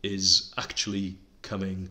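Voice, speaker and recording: Bright-voiced Welsh man with lower pitch. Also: monotone, unhurried. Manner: explains statistics with enthusiasm, self-deprecating asides. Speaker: podcast co-host. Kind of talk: casual conversational podcast.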